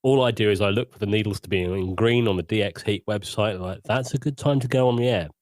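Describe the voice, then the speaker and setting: A man's voice: lowish voice, fast, a little mellow, no room sound. A man chatting casually and candidly as a podcast panelist, in a self-deprecating way. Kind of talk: casual chat, personal anecdote.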